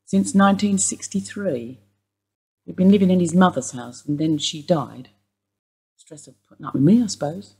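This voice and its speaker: An actress playing an older woman being questioned by police, guarded and wry in a husky voice. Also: fairly low pitch, normal speed, darkish tone. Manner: guarded, dry, wistful. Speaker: police interview suspect. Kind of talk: radio play acting.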